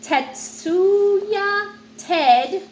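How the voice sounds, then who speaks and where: A woman's voice: extremely high pitch, very slow, slight background noise, low-quality recording. A woman reading a nonfiction book aloud, informally, with casual asides and stumbles she corrects herself. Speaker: read-aloud presenter.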